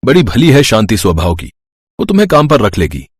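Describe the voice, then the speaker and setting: A man's voice: medium pitch, big pitch swings, brisk, slightly rough, no echo, studio quality. A man playing a kindly, matter-of-fact roadside eatery owner in a story, plain-spoken and helpful.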